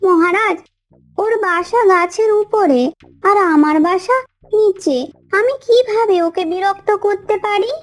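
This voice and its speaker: A woman voicing a polite, steadfast mother hen in a cartoon story, reasoning calmly. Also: extremely high pitch, a little slow. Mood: respectful.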